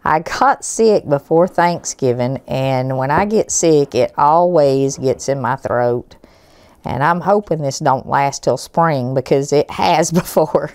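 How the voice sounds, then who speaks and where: Hoarse, low-voiced woman cooking. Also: slow pace, a little mellow, no echo. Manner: homey, chatty, folksy explanations. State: hoarse from a cold. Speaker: cooking show host. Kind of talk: home cooking demonstration. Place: home kitchen, indoors.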